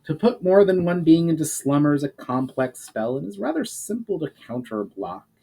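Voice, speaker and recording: A man's voice: a little high, sing-song, normal speed, gravelly, dark tone, slightly rough, voice pushed up, full character voice. A man voicing an earnest mage in a storybook reading, explaining things in a careful, formal manner. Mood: careful, serious. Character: earnest male mage explaining magical limits.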